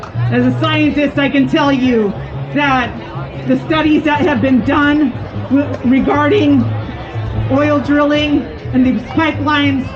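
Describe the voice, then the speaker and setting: A scientist and activist from Fort Peck, Montana, giving a personal speech at an outdoor protest, often halting and restarting. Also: high voice, fairly even intonation. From Fort Peck, Montana, United States. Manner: halting, with frequent restarts and fillers. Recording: dull lo-fi outdoor recording. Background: crowd and music.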